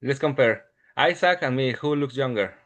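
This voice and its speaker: Interview guest: Mexican man, early thirties, native Spanish speaker, medium-pitched, casual delivery. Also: expressive, normal speed, a bit bright. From Mexico City and State of Mexico, Mexico.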